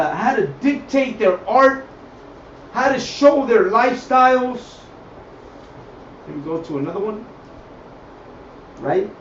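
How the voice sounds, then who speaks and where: A man with a high voice teaches scripture and history off the cuff. He is emphatic, repeats his phrases and keeps checking in with listeners. The mic sounds far away in an echoing space. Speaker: teacher of a religious group. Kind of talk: improvised scripture and history lesson. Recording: echoey, distant mic, dull, faint noise.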